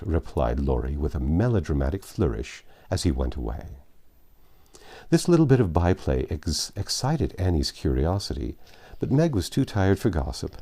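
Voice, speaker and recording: A man's voice: low voice, dark tone. A man narrating a classic novel expressively as an audiobook reader.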